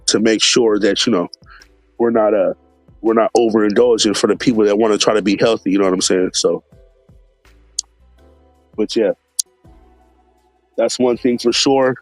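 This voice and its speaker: A man hosting a casual live stream, talking in a relaxed, slangy way and sounding a bit stuffed up from allergies. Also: fairly low pitch, moderate pace, long pauses, no room sound, soft background music. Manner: laid-back, slangy, many fillers, greets chat viewers by name.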